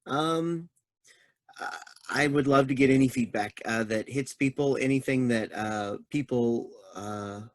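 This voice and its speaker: A man's voice: medium pitch, measured pace, no room sound. Hesitant man, dry close sound. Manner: hesitant, searching for words. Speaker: playwright. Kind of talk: casual discussion.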